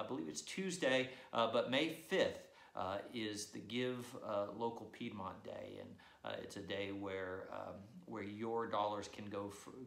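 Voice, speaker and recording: A man's voice: lowish voice, creaky, a bit bright. A man, a priest, giving church announcements from his living room, hesitant with many fillers. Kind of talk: church announcements and opening prayer. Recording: echoey room.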